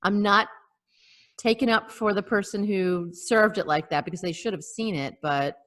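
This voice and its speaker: Woman, podcast co-host, bright crisp-edged voice, casual chat, middle pitch, video call. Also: moderate pace.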